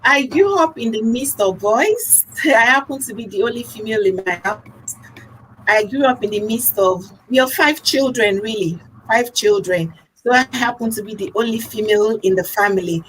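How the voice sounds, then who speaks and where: A woman's voice: a little high, expressive, normal speed, fairly bright tone, slight background noise, rough recording. A young Nigerian woman talking casually about herself as a guest, often repeating phrases for emphasis. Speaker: talk show host appearing as guest. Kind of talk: casual personal talk.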